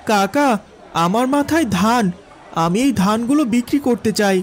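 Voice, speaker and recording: Man voicing a high-pitched earnest village boy. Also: animated, unhurried, a little hiss or hum. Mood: hesitant, polite. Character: Raju, earnest poor village boy, hardworking. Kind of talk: cartoon story dialogue.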